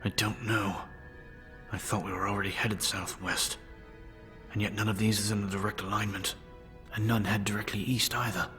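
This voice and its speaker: A tense male squad leader in a horror audiobook, voiced by a man narrating. His low voice is firm and frustrated, and music plays faintly behind him. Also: level tone, normal speed, sharp tone. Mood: angry frustration.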